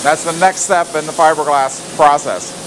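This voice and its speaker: A man's voice: on the high side, fairly even intonation, moderate pace. A man guiding a walking tour through a factory, explaining each step plainly with frequent fillers and restarts. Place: loud factory floor. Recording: factory noise behind voice.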